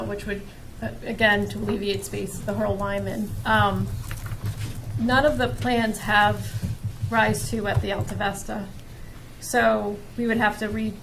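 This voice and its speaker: A woman's voice: mid-range voice, noisy background. A woman committee member asking questions, thinking aloud and checking understanding as she goes. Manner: thinking aloud, seeking confirmation.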